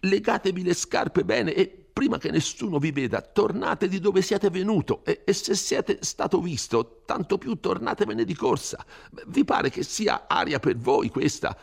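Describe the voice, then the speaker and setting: A man's voice: sits high, expressive, moderate pace, gravelly, few pauses, husky, pitched above the performer's own voice, heavily acted. A man voicing a fretful elderly village priest who stammers and pleads in a flustered way, in an audiobook reading. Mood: alarmed, scolding. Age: older. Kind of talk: audiobook character voice.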